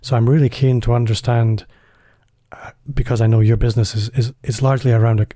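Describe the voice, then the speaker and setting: Mellow-voiced male podcast host. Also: medium pitch, little pitch movement, fast, no echo, studio quality. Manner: chatty, self-deprecating, loose phrasing. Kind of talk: podcast interview.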